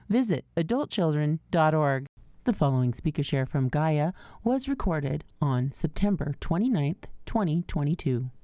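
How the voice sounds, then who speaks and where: A woman's voice: deep voice, warm tone, no room sound, studio quality. A woman reading a scripted podcast introduction as the announcer.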